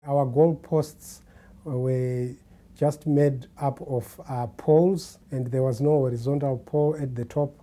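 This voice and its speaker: A man, a documentary interviewee recalling his childhood in a slow, measured way. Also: medium pitch, fairly even intonation, warm tone. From Zimbabwe. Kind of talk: reminiscing to camera.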